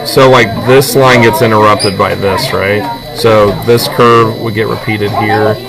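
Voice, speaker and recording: A man with a fairly low voice gives patient, hands-on drawing instruction. He explains in an informal way, as a teacher would at a student's desk. Loud classroom chatter surrounds him. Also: steady tone, a little slow. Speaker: art teacher. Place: busy classroom. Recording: noisy room full of chatter. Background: loud crowd chatter.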